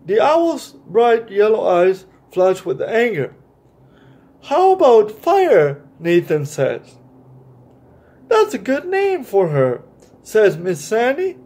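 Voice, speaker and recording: High-pitched man, slow-paced children's story reader. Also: wildly swooping pitch, darkish tone. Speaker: host of a children's story time. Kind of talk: animated read-aloud of a picture book with explanatory asides.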